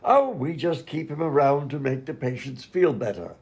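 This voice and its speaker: A man's voice: medium pitch, lively intonation, normal speed, creaky, a little mellow. A man playing a genial, absent-minded doctor in a comedy radio sketch, polite, dithering and oblivious. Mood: cheerful, befuddled.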